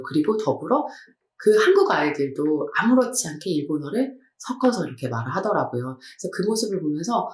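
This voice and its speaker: A woman's voice: fairly low pitch, roomy sound. A woman hosting an educational discussion, telling stories in a conversational way. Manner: conversational, animated storytelling. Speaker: host.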